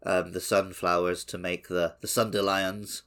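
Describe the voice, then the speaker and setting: A man with a very low, creaky voice talks in a loose, conversational way, like a podcast co-host. His delivery is informal, and the low register often settles into vocal fry. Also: little pitch movement, measured pace, fairly bright tone. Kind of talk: casual two-man video game discussion.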